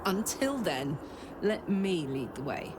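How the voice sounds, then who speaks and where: Tough british devil-girl soldier, lowish voice, chummy n blunt, game ambience noise behind. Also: sing-song, slow. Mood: brash, protective. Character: brash, protective female tiefling soldier, big-hearted and tough. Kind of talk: video game character acting. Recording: clear background ambience noise.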